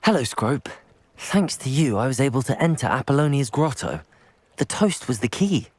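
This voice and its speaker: A man's voice: medium pitch, sing-song, normal speed, darkish tone, slightly rough, no room sound. An actor playing a polite, curious young male wizard student in a video game, with an earnest manner. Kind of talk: video game character acting.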